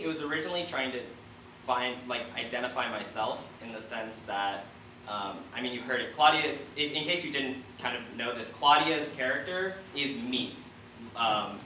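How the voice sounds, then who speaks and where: A man's voice: medium pitch, normal speed, pushed and bright. Man, 20s, student playwright at a panel. Informal, hesitant delivery, picked up from far off in a roomy space. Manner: hesitant, informal phrasing with frequent "like". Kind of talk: casual panel discussion. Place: panel discussion in a room. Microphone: distant microphone. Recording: roomy, distant, faint noise.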